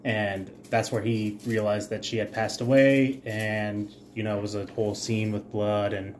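A man co-hosting a podcast, telling stories conversationally in a halting, informal way with casual swearing. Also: on the low side, flat delivery, a little hiss or hum. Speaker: podcast co-host.

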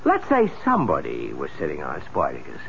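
An actor playing a gloomy, haunted, hot-tempered young fiancé in a 1970s radio drama, terse and bitter. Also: male voice, rumbling low, wildly swooping pitch, a little fast, vocal fry, a crowd in the background, full character voice. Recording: muffled old radio recording, faint hiss.

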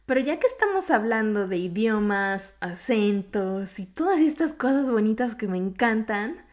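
A woman's voice: fairly high pitch, very animated, moderate pace. A woman with a Mexico City accent, a native Japanese speaker, hosting a solo podcast in a chatty, informal, slightly sing-song way. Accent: Mexico City Spanish. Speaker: podcast host.

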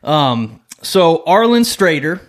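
A man's voice: on the high side, sing-song, slow pace. A middle-aged man hosting a podcast in a casual, chatty way. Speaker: podcast host. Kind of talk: casual chat.

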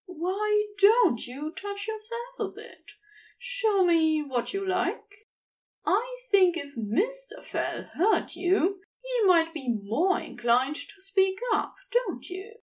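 A woman voicing a commanding, lustful male houseguest in an audiobook story, teasing and coolly controlling, with deliberate delivery. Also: extremely high pitch, big pitch swings, far from the mic, voice pushed up, exaggerated voice, low-quality recording. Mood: coaxing, teasing.

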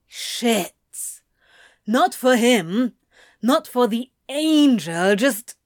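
A woman's voice: medium pitch, animated, slow, no echo, clean studio sound. A woman voicing a flustered, defensive, foul-mouthed male supernatural being who mutters and snaps evasively in an audiobook story. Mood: panicked, defensive. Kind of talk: fan fiction audiobook character voice.

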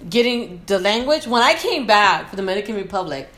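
A woman's voice: medium pitch, big pitch swings, a little fast, a bit bright, soft background music. Reflective woman sharing travel memories. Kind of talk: casual chat.

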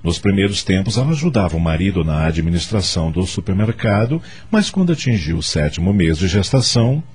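A man's voice: low-pitched, sing-song, brisk, darkish tone, breathy edge. A man with a Brazilian accent narrating a scripted radio drama as storyteller. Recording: music under the voice.

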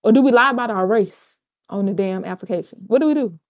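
A woman's voice: mid-range voice, fast, no echo. A woman co-hosting a podcast, ranting and joking in casual, profane banter. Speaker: podcast co-host.

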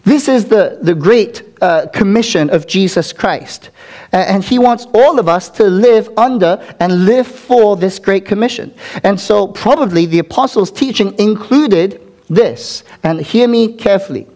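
A pastor preaches to his congregation in an earnest sermon, often checking in with the room through call-and-response asides. His voice sits notably high for a man. He is speaking in a church sanctuary. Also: a little slow, a little mellow.

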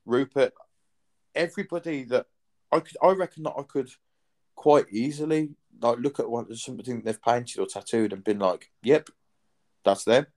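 A man's voice: mid-range voice, moderate pace, a little mellow. A man, hosting a podcast in casual chat, rambling with lots of fillers and casual swearing. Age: 30s. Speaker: podcast host.

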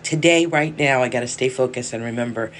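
Hoarse chatty woman podcast host. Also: very deep voice, a little fast. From South Central Los Angeles. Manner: rambling, chatty, self-interrupting with laughs. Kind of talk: casual solo podcast monologue. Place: indoor room with space heater. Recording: faint background whirring noise.

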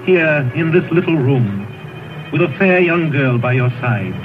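A man's voice: on the high side, animated, a little slow, phone-quality sound. A man playing a stern, solemn spirit guide in an old-time radio drama, declaiming and asking probing questions. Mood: grave, stern. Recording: old radio broadcast, thin band-limited, music behind.

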